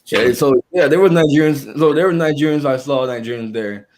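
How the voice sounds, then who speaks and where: A young man talking casually as an interview guest, pausing and restarting often as he gathers his thoughts. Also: on the high side, a little mellow, a little hiss or hum. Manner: halting, with frequent restarts and fillers.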